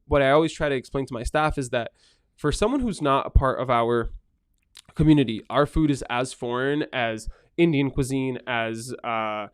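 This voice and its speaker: A man's voice: medium pitch, moderate pace, clear, resonant tone. An earnest man talks in a casual podcast conversation about his work running a restaurant. He often repeats words as he gets a thought going. The sound is dry and close, with no room echo. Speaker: restaurant owner.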